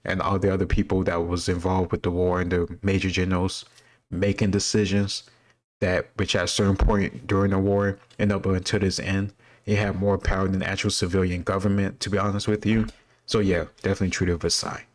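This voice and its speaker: Deep-voiced man talking casually, fairly even. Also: a little fast. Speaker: host answering history quiz questions. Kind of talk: casual reaction commentary, thinking aloud.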